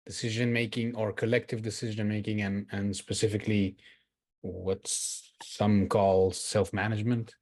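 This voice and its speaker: A man's voice: fairly low pitch, level tone, moderate pace, a little mellow. A man from Israel speaking as a participant in an online group discussion, earnest and reflective, growing emphatic on his key points, over a video call. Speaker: workshop participant. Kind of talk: personal sharing in a group discussion.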